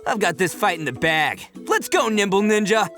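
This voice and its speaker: A man's voice: high voice, sing-song, normal speed, fairly bright tone, soft background music. A man voicing a brash, boastful, big-hearted young gang boss in a video game, boisterous and hammy, lurching between bluster and sheepish stammering. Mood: hyped up. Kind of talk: animated video game voice acting.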